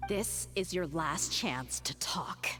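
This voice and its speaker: A woman's voice: on the low side, lively intonation, takes it slowly, music in the background. A woman playing a stern, commanding young female mercenary in a video game, firm and blunt.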